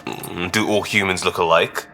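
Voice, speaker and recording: A man's voice: low voice, steady tone, moderate pace, vocal fry, pushed and bright, soft background music, heavily acted. A man playing a cheeky, informal human crewman in a video game, teasing and breezy. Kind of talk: video game dialogue acting.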